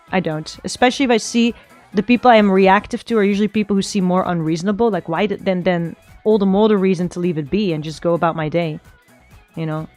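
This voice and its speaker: A woman chats casually on a stream while she draws. Her is Dutch, her pitch sits in a middling range, and she rambles, thinking aloud and restarting her sentences. Soft music plays faintly behind her voice. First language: Flemish Dutch. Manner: rambling, thinking aloud with frequent self-corrections. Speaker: virtual streamer. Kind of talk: casual chat while drawing, answering chat.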